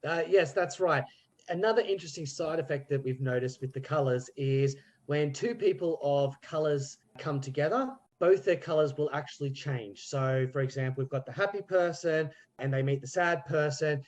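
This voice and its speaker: A man's voice: a little high, moderate pace. A man chatting as a podcast guest, hesitant and thinking aloud with many fillers. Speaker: theatre group member, guest. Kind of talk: casual podcast chat.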